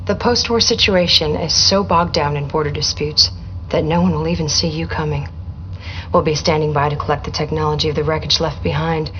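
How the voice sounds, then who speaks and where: A woman's voice: low voice, fairly bright tone. An actress playing a poised, calculating corporate spokeswoman in a video game, speaking in a polished, composed way. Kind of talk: scripted video game briefing. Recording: dull audio with faint background noise.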